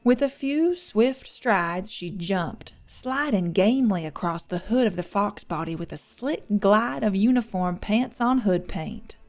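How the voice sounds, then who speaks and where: A woman's voice: medium pitch, expressive, a little slow, hardly any pauses, a little mellow. A woman narrating an audiobook, reading scripted fiction.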